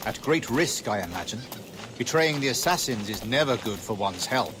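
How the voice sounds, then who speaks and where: A man's voice: medium pitch, expressive, moderate pace, background noise, natural voice. An actor playing a composed, pompous male naval captain, clipped, genteel and self-assured. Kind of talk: video game cutscene acting.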